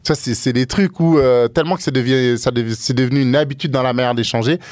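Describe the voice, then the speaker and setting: A man from Africa with a deep, carrying voice, chatting casually as a guest and voicing other people's remarks as he tells things. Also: fast, a little mellow, dry recording, studio quality. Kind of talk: casual conversation.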